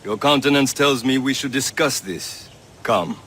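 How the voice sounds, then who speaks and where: An actor playing a dignified, calculating older mentor with formal, measured speech in a video game. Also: male voice, medium pitch, a bit bright, slight background noise, lightly acted. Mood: welcoming. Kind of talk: video game cutscene acting.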